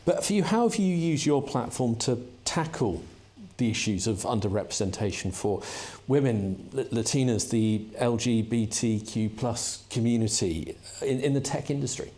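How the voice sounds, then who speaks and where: A man hosting a conference fireside chat, relaxed and chatty, with small hesitations. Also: mid-range voice, moderate pace. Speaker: host.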